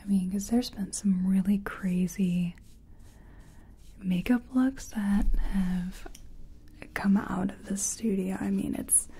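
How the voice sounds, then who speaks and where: Slow, breathy whispering woman. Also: mid-range voice, steady tone. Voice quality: breathy, mostly unvoiced whisper. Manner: gentle, hesitant, murmuring personal attention. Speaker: ASMR performer playing a makeup artist. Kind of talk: soft-spoken whispered ASMR roleplay.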